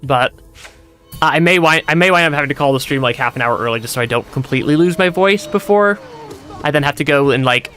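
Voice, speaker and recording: A man streaming a video game, chatting casually and laughing often, stammering and repeating himself, with his voice going hoarse and cutting out. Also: on the high side, fast, a bit bright. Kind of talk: casual gameplay commentary. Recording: music playing behind.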